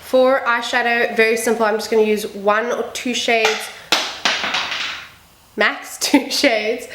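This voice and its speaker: A woman vlogger talking casually through a makeup tutorial, a little croaky and sniffly from a cold. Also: on the high side, fairly bright tone, echoey room. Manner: chatty, upbeat, self-deprecating asides. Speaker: beauty vlogger. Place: home room with building noise outside.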